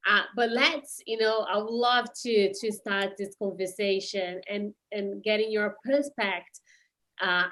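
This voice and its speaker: A woman hosting a podcast interview, speaking hesitantly with many fillers. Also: medium pitch. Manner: hesitant, full of "you know" and "uh" fillers. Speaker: podcast host.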